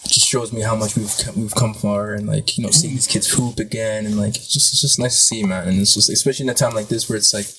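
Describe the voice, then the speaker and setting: A man's voice: lowish voice, fairly even intonation, fast talker, few pauses, sharp tone, a little hiss or hum, low-quality recording. A man from Congo chatting casually as a guest, with slangy, repetitive phrasing. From Congo, Central Africa. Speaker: podcast guest, photographer. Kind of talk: casual chat.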